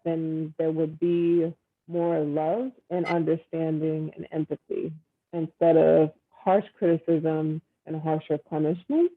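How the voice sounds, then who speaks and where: Woman on a video call, gravelly and earnest, thinking aloud with fillers, thin phone-line sound. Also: deep voice. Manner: earnest, reflective, many fillers. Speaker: dating guest. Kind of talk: casual video-call conversation.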